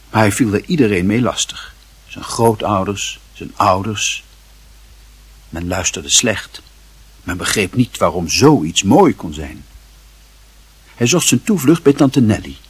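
A man narrating a literary radio play with measured, deliberate phrasing. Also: fairly low pitch, slightly rough, dry recording. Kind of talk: scripted narration.

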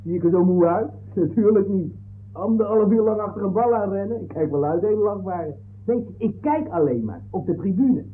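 An actor playing a muddled, excitable football fanatic on trial in a 1960s comic radio play, stammering and rambling. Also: male voice, high voice, moderate pace, close to an everyday voice. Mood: pleading, earnest. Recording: old narrow-band radio recording.

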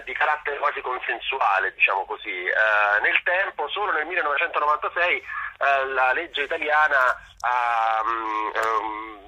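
Male phone interviewee, very bright and somewhat high, careful and hesitant. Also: husky. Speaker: theatre guest on radio. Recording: radio interview over telephone line.

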